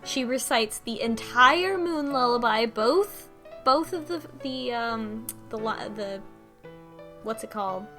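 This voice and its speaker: A woman's voice: a little high, lively intonation, takes it slowly, pushed and bright, faint music behind. A woman in casual podcast conversation, recapping a story as a panelist and acting out the lines as she goes.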